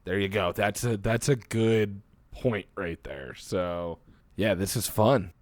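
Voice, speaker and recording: A man's voice: fairly low pitch, slow, no room sound. A man with a Philadelphia-area Mid-Atlantic American accent, chatting casually as a podcast co-host. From Philadelphia area, United States. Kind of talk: casual chat.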